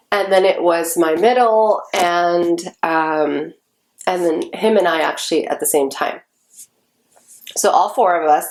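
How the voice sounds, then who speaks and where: A woman in her forties, stuffy and congested, chatting casually to the camera in a rambling way with lots of ums. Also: on the low side. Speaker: vlogger.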